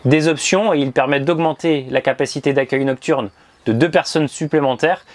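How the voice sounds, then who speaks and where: A man's voice: on the high side, normal speed. Man presenting a motorhome review. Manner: precise, presenter-like delivery. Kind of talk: scripted product review. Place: inside a motorhome, then outdoors by the roadside. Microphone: lapel mic.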